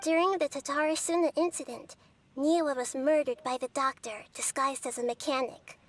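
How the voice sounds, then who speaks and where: A wise young goddess speaks in a soft, measured game voice, performed by a woman. Her pitch sits high for a woman, and she keeps an even, gentle calm as she guides and explains. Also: close to an everyday voice. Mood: explaining. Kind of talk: game character dialogue.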